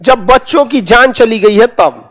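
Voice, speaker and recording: High-pitched Bihari man lecturing sarcastically. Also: animated, measured pace, fairly bright tone. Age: 30s. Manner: sarcastic, colloquial, rhetorical questions. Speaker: coaching teacher. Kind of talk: informal classroom lecture with commentary. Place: indoor classroom studio at a presentation board.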